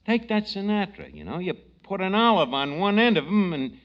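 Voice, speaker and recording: An actor playing a brash, slangy, scheming tavern manager in a 1940s radio comedy. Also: male voice, high voice, big pitch swings, moderate pace, very noisy. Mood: scornful. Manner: slangy, ungrammatical, mangles words. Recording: dull vintage radio broadcast recording.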